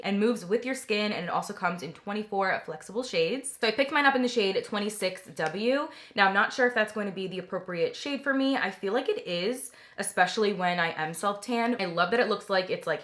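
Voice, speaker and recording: A young woman talking through a makeup product review in a chatty, enthusiastic way, her voice slightly raspy from a recent cold. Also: mid-range voice, fairly bright tone. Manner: chatty, enthusiastic, many "like" fillers. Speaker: beauty vlogger.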